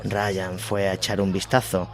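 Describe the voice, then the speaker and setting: A man's voice: low voice, completely flat delivery, a little slow. A man reading a scripted war story as its narrator. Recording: loud music behind the voice.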